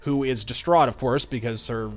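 A man's voice: mid-range voice, normal speed, soft background music. A man hosting a podcast, giving enthusiastic, wordy and emphatic review commentary. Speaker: podcast host.

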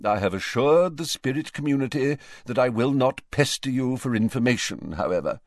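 A pompous gentleman ghost from an audiobook, acted out by a man, pitched high and very animated. He speaks in formal, self-important phrases and is easily affronted. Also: moderate pace, no echo, studio quality, natural voice. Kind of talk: audiobook character voice.

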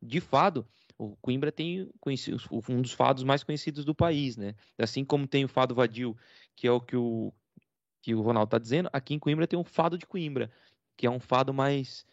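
A man's voice: mid-range voice, fairly quick, dry recording. A man with a Brazilian Portuguese accent, co-hosting an interview and explaining things at length in a casual, praising way. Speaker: co-host. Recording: dry, dull lo-fi sound.

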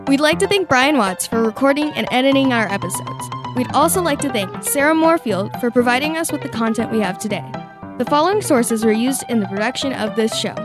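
Higher-voiced young female radio presenter. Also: animated, fairly quick, music in the background. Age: teenager. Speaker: youth radio show presenter. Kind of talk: scripted radio narration and casual chat.

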